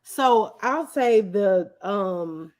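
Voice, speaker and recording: A woman in her thirties with a medium-pitched voice, chatting as a guest on a show. She speaks candidly and humbly, reflecting on her own experience in a casual conversational style. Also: animated, extremely slow, a little mellow. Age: 30s. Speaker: guest, videographer.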